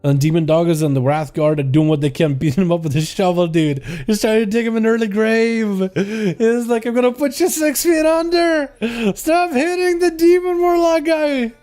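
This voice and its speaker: Streamer caster, young male, slight Russian accent, high and mellow, excitable play-by-play, light music bed. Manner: fast excitable play-by-play with laughs. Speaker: streamer and game commentator. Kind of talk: live esports commentary.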